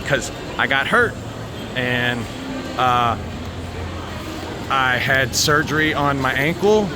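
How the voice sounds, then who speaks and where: An American man chatting casually and candidly to viewers, rambling and haltingly, with plenty of swearing. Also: medium pitch, noisy background. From United States. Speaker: vlogger.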